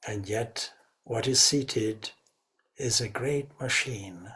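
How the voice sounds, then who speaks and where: A man leading a guided meditation as a spiritual teacher, speaking reverently in short, measured phrases. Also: mid-range voice, bright, forceful tone.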